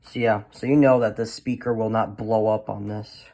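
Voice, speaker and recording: A man's voice: lowish voice, level tone, normal speed, dark tone. A man chatting casually as a hobbyist presenter, rambling and often trailing off at the end of his thoughts. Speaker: hobbyist collector presenting a video. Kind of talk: casual show-and-tell chat.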